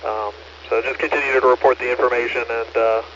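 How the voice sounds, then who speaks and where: Even-toned American man on muffled radio. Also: mid-range voice, a little fast. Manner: matter-of-fact, courteous, repeats information back. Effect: two-way amateur radio transmission. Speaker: amateur radio net control operator. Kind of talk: procedural two-way radio traffic with read-backs. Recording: muffled noisy two-way radio audio.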